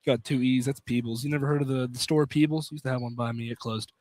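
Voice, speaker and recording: A man's voice: mid-range voice, fairly even intonation, fast talker, darkish tone, no room sound. A man doing live play-by-play basketball commentary on radio. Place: college basketball field house. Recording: clearly noisy live broadcast.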